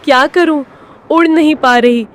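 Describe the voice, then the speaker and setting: Woman doing a cartoon mama bird, tender n worried, high voice, slow pleading delivery, faint noise. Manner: earnest, emotional, pleading. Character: devoted, anxious mother bird, tender and self-sacrificing. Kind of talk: children's cartoon voice acting.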